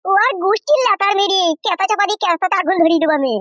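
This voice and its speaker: High-pitched, fast-talking hot-headed village husband. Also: male voice, fairly bright tone, dry recording. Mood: furious, blustering. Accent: rural West Bengal. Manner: blustering, whining, mispronounces words. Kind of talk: comic cartoon dialogue.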